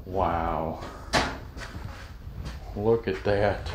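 A man's voice: low-pitched, extremely slow, noisy background. A man vlogging while he explores an abandoned lakeside house, talking in short, awed exclamations as he goes from room to room. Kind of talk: hushed exploring commentary.

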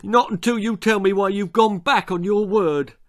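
A man voicing a desperate, tearful and indignant schoolboy runner in a storybook, wailing and protesting loudly. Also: sits high, moderate pace, no room sound, clean studio sound, voice pushed up, close to an everyday voice. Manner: wailing, whining, loud protests.

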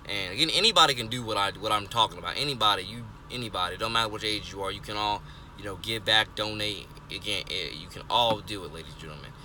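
A man's voice: on the low side, fast talker, sharp tone, a little hiss or hum. A twenty-year-old man talking earnestly to his phone from inside a parked car, rambling with lots of fillers and restarts. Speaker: vlogger. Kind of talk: rambling casual monologue. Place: parked car, indoors of vehicle. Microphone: phone held close, selfie framing.

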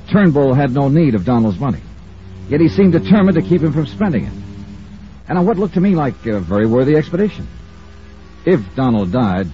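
An American actor playing a shrewd, easygoing insurance investigator who also narrates an old-time radio detective drama in a wry, musing way. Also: male voice, fairly low pitch. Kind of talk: hard-boiled radio detective acting and narration. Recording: dull old radio broadcast.

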